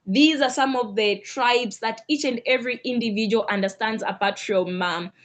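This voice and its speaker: A woman's voice: a little high, normal speed. Zambian woman explaining, with many fillers. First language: Lozi. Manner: rambling, repeats phrases, many fillers. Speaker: guest speaker. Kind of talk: explanatory talk in an online mentorship session.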